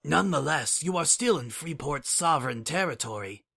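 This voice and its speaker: Brief: a man playing a cool, formal pony official in a storybook reading, measured, softer and even. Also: on the high side, creaky, breathy edge, heavily acted. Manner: formal, measured and legalistic, refers to self as "this one". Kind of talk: fan dramatic audiobook reading.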